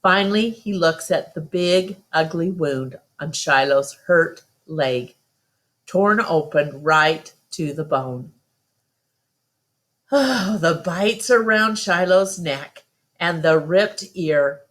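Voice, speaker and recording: A woman's voice: on the low side, expressive, slow pace. A woman storyteller reading a first-person children's novel aloud as narration.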